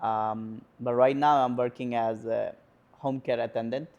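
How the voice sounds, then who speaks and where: Casting: twenties guy, Indian accent, gravelly, mellow, halting interview answers. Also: mid-range voice, steady tone, highs cut off. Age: 20s. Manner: hesitant, many pauses and fillers. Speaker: interview guest. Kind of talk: casual sit-down interview.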